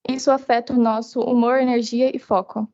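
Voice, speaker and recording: Soft, high-voiced teenage girl, native Portuguese speaker. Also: little pitch movement, quick pace, vocal fry. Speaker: student giving testimony. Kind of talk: public testimony in short phrases for interpretation. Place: committee hearing. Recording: dull, muffled audio.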